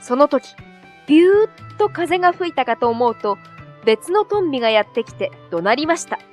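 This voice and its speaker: Female storybook narrator: high voice, scripted children's tale delivery, music bed underneath. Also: very animated, normal speed. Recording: soft music behind.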